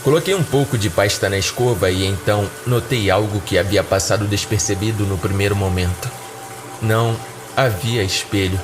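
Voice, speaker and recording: A man's voice: on the low side, normal speed, noisy background. A man with a Brazilian accent giving scripted first-person narration of a horror story as a podcast narrator. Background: music.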